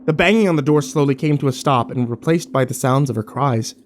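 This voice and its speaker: A man's voice: mid-range voice, fast talker, slight background noise, close to an everyday voice. A man playing a troubled, wary survivor who narrates his ordeal in a brooding first-person horror drama. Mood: doubting.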